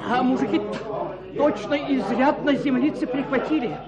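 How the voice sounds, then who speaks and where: Very high-voiced deferential petitioner. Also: male voice, normal speed. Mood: hesitant. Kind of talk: Russian radio play acting. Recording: dull recording with loud crowd.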